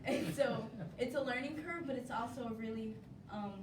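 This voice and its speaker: A woman's voice: a little high, moderate pace. A woman who acts in a stage play, answering casually in an interview. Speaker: stage play cast member. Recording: echoey room, distant mic, background noise.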